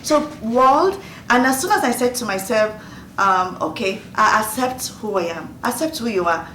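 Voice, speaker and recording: A woman's voice: fairly high pitch, lively intonation, moderate pace, fairly bright tone. Nigerian woman in her fifties, an earnest host who repeats key phrases, recorded in a roomy space with the mic set well back. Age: 50s. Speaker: host giving advice. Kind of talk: motivational Q&A talk. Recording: roomy distant mic, faint noise.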